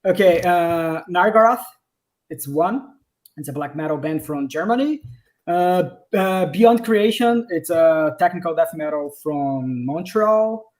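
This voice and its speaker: A man's voice: on the high side, expressive, unhurried. A man from Brazil with a Brazilian accent, a game developer answering questions on a home video call, often hesitating and restarting as he searches for words. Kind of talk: casual livestream Q&A. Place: home office.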